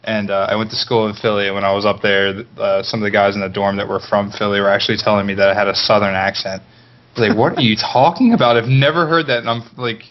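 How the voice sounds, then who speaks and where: Low-voiced male from Maryland, laid-back mumbler, dull lo-fi audio. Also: steady tone, fast. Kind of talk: casual chat.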